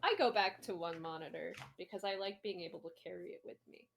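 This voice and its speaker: A woman's voice: on the low side, fast talker, creaky, a bit bright, a little hiss or hum. A woman talking casually in a group chat with friends.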